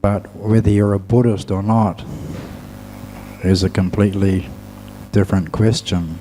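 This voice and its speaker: A man with a low, mellow voice gives a dhamma talk as a Buddhist teacher. He speaks very slowly and haltingly, leaving long pauses between short phrases. A faint hum sits behind him. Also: flat delivery, rough recording.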